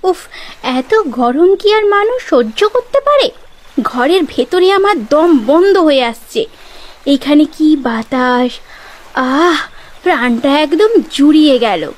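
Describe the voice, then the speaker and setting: A woman playing a village wife turned clinging ghost in an audio horror story, with a drawn-out delivery. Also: sits high, expressive. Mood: weary, relieved. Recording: loud music under the voice.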